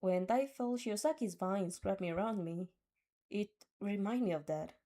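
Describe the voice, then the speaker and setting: A spirited teenage anime heroine, acted by a woman, sweet with a cheeky streak. She speaks earnestly and expressively, a bit higher than an average woman's pitch. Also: normal speed, warm tone, natural voice. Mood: earnest, regretful.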